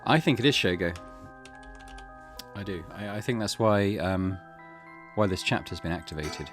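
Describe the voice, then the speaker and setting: A man's voice: deep voice, normal speed. A man hosting a let's play, thinking aloud hesitantly as he plays. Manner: musing, hesitant, with fillers and restarts. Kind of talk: thinking aloud while playing a game. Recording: game music playing behind.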